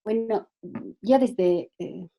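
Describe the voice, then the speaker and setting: A woman whose first language is Italian, giving a halting online talk with many fillers, restarts and searches for words, heard over a video call. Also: medium pitch, dark tone. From Italy. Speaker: guest speaker.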